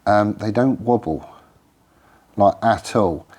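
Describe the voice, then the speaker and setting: A man's voice: on the low side, takes it slowly, darkish tone. A man, congested and losing his voice from a cold, chatting casually about a motorbike frame build while hosting his channel. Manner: rambling and informal, with frequent ums and trailing restarts. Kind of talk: casual workshop chat to camera. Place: home garage workshop.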